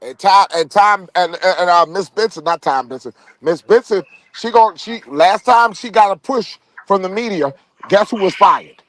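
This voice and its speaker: A man's voice: high-pitched, animated, moderate pace, fairly bright tone. A man co-hosting a sports podcast in heated, casual debate, insistent and repeating himself for emphasis.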